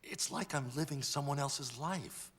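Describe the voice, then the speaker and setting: An actor playing a troubled, wealthy gentleman hero in a cartoon, earnest and dramatic. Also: medium pitch, unhurried, slight background noise, natural voice. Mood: distressed, confused. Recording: musical score behind cartoon dialogue.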